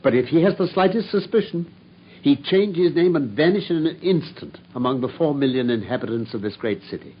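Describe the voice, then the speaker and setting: An actor playing a brilliant, self-assured male detective in a radio drama, speaking in a crisp, measured way. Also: fairly high pitch, expressive, close to an everyday voice. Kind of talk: 1950s radio drama acting. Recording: dull old radio broadcast with faint hiss.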